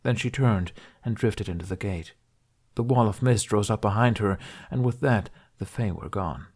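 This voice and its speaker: A man reading a fantasy story aloud in a calm, measured way. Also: fairly low pitch, slightly rough. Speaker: podfic reader. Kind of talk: storybook narration.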